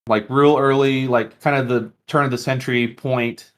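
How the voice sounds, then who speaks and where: A man's voice: mid-range voice, steady tone, normal speed. Chatty man hosting a podcast. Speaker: podcast host. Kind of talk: casual podcast conversation about tabletop games.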